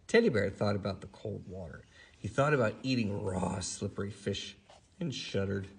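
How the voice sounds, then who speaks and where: Man, bedtime storyteller, gravelly, lively rise and fall, expressive, drawn-out emphasis. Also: lowish voice. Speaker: storyteller reading to a child.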